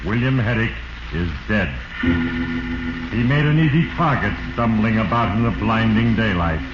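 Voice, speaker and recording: Ominous, echoing voice of Fate. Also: male voice, fairly low pitch, lively intonation, a little slow, loud music behind the voice. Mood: ominous, solemn. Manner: ominous, portentous, heavy-handed. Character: Fate personified, sinister, solemn male judge of human destinies. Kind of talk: 1940s radio narration. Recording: echoey, muffled, noisy 1940s radio recording.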